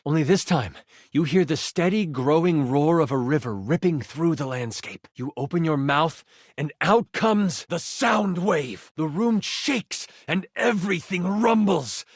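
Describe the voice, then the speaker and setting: A man with a slightly high-set voice narrates a fantasy tabletop adventure as game master. His scripted storytelling is theatrical and suspenseful. The sound is dry and close. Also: no room sound.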